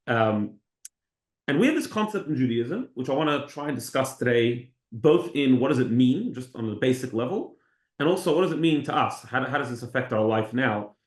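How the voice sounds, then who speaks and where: A man's voice: medium pitch, animated, normal speed. A man co-hosting a conversational religious discussion, speaking informally and posing questions. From Australia. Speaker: co-host of a religious discussion show. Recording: echoey room.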